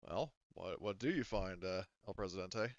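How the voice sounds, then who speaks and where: Low, smooth-voiced man running a tabletop game. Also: no room sound, dull audio. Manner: halting, thinking aloud while running the game. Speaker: game master. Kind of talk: casual narrating of a tabletop roleplaying game.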